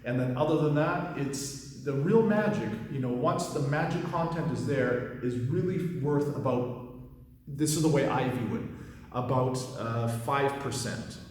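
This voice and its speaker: A man in his thirties talks shop as a coach, easygoing and rambling, with plenty of fillers and false starts. His voice sits at an ordinary middle pitch. It rings through a very echoey space with a long reverb tail. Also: a little slow, a little mellow. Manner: rambling, with many fillers and restarts. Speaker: magic coach and competitor. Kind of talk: casual commentary.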